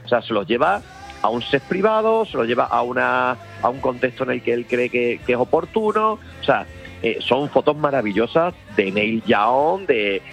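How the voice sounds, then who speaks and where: Man, festival organizer, eager and rambling, muffled radio sound with soft music under it. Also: mid-range voice, big pitch swings, normal speed, gravelly. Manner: enthusiastic, rambling with many restarts. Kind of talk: casual radio interview.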